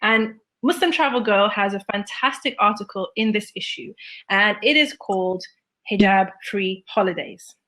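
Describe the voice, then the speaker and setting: A woman hosting a casual chat, upbeat and playful, giving shout-outs to her guest. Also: mid-range voice, moderate pace. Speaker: magazine editor hosting a video chat.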